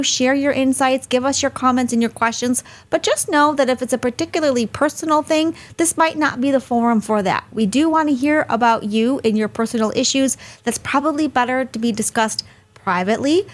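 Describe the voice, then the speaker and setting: A woman hosting a live webinar over a video call, chatty and friendly, laughing easily. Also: a little high. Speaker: host.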